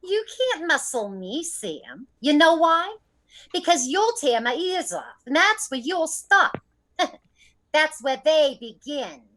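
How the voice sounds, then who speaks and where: A woman playing a brassy, defiant underworld juke-joint owner in an old-time radio drama, taunting in drawn-out slang. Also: high voice, very animated, sharp tone, heavily acted, rough recording.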